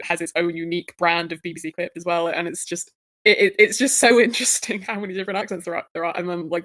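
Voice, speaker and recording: A woman's voice: fairly low pitch, a bit bright, no room sound. Chatty young Southern English woman. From England, Guildford. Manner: chatty and rambling, many fillers. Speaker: player. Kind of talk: casual chat.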